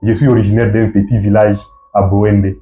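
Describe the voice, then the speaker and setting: A man from Goma with a Congolese accent, giving halting political answers in an interview, often repeating and rephrasing himself. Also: on the low side, flat delivery. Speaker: activist guest being interviewed. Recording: dull, muffled lo-fi sound.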